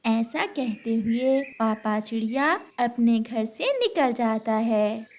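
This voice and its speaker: A woman's voice: a little high, slow pace, darkish tone, slight background noise. A woman narrating a scripted cartoon story.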